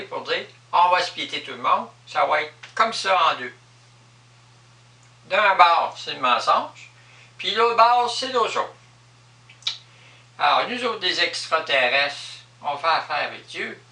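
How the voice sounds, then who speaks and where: Echoey, sharp-voiced man with Quebec French accent. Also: fairly high pitch. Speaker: vlogger explaining personal spiritual beliefs. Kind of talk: improvised explanatory monologue. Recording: echoey room, distant microphone.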